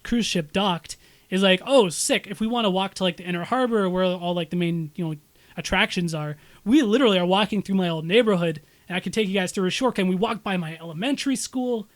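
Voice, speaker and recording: A young man from Canada co-hosting a podcast, telling a travel story in a rambling, excited way, swearing freely and filling his talk with "like.". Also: sits high, quick pace. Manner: excited, rambling, heavy swearing, many "like" fillers. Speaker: podcast co-host. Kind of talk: casual chat.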